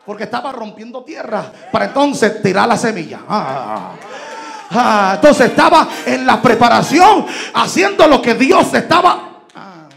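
Preacher, male, 30s, high gravelly voice, shouts in bursts, handheld mic in a church, faint music behind. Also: sing-song, normal speed. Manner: shouting, emphatic, switching between Spanish and English. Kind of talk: Pentecostal sermon. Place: large church stage indoors. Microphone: handheld microphone.

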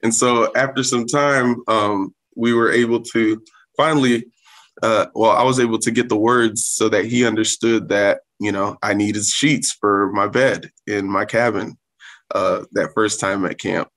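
A man's voice: lowish voice, fairly even intonation, measured pace, fairly bright tone, poor audio. A man around thirty from South Florida, raised speaking Haitian Creole at home, answering interview questions earnestly with many um fillers. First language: Haitian Creole or French. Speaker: guest, Salvation Army corps officer.

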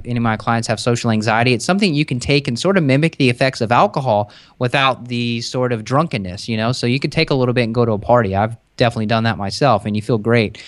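A young man talking as a podcast guest over a video call from his kitchen, relaxed and chatty, explaining in long rambling runs into a close desk mic. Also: medium pitch, no echo. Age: young adult. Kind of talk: casual podcast chat.

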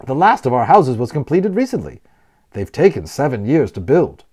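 A man's voice: medium pitch, very animated, moderate pace, a little mellow, slightly rough. A man voicing a genial, talkative man who explains things proudly and playfully as a guide in a storybook. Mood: proud, informative. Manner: explanatory, emphatic, playfully wordy.

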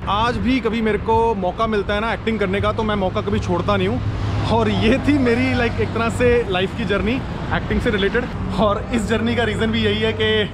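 A man with a high, light voice talks casually to the camera during a road trip, joking with the friends riding along. He is recorded inside a moving car, and loud road and engine noise sits under his voice the whole time. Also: fairly even intonation, normal speed. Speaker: vlogger and actor. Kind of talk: casual travel vlog narration.

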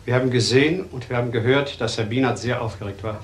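Male actor for crime-drama innkeeper, plain witness, middle-pitched and fast-talking. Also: steady tone, slight background noise, lightly acted. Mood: earnest. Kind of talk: German crime drama acting.

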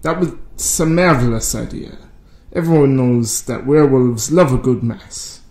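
A man's voice: medium pitch, unhurried, a little mellow, lightly acted. A man playing a genial, obliging Irish village priest in an amateur radio drama. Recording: roomy, echoing sound.

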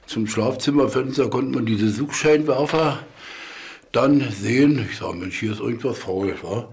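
Elderly male interviewee, medium pitch, informal recollection, slight background noise. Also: measured pace, darkish tone. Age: older, about 70. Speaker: interviewee, former village mayor. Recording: faint noise and clicks.

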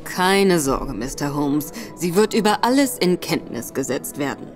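A cool, cutting young woman in disguise for an audio drama. Her voice sits low for a woman, and she speaks in a sharp, mocking way. A score plays clearly beneath her. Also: very animated, unhurried, soft background music. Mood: cool, probing. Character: clever young woman actress, disguised as a gentleman. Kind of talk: German audio drama acting. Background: background score.

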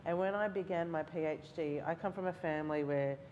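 Low-voiced Australian woman on a panel. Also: brisk, a little mellow, muffled recording, slight background noise. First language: Mauritian Creole or Italian. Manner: candid, storytelling, self-deprecating humour. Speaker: panelist, creative writing lecturer. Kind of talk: panel discussion. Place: large hall, seated on stage.

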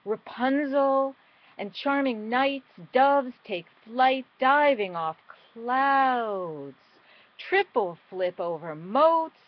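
A middle-aged woman performing a spoken-word poem with dramatic, deliberate phrasing that moves between emphatic and hushed. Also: sits high, lively intonation, dry recording. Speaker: poet. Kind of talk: spoken-word poetry recital. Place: small indoor room at home. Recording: dull, lo-fi webcam sound.

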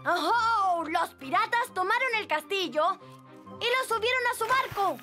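Squeaky, spirited boy kitten. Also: a little slow, over music. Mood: insistent. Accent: Latin American Spanish. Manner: emphatic, excitable. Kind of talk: animated children's cartoon dub.

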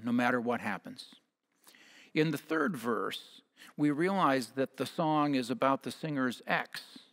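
An American man in his fifties preaching a sermon as a pastor, speaking in measured short phrases, partly reading from notes. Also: medium pitch, a bit bright. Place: church sanctuary at a lectern. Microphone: headset mic.

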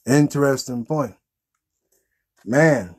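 A man hosts a puppet show in a casual, improvised way. His voice is husky and unpolished, that of someone in his thirties. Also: medium pitch, takes it slowly. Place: small indoor room with green backdrop.

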